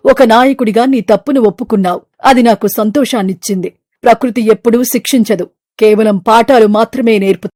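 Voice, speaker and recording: A woman voicing an ancient, sacred mother tree that speaks in a solemn, declamatory way, stern yet forgiving, in an animated moral story. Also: a little high, a little fast, no room sound, clean studio sound, lightly acted.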